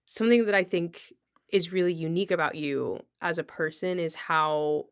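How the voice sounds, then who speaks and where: A woman's voice: lowish voice, moderate pace, smooth. A woman hosting a podcast, chatting casually with a guest. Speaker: podcast host. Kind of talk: casual conversational chat.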